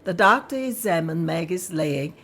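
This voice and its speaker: A woman narrating scene directions in a table read, plain and steady. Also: lowish voice, moderate pace.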